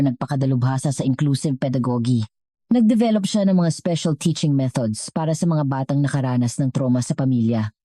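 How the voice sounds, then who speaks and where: Low, mellow Filipino woman narrating a story. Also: dry recording, clean studio sound.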